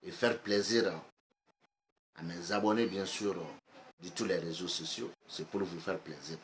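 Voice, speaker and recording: Male instructor, West African accent, Bambara native; lowish voice, deliberate, repeats for emphasis, faint noise behind. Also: steady tone. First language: Bambara (Bamanankan). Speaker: geomancy teacher, online video host. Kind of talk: instructional explanation. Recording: echoey room, faint background noise.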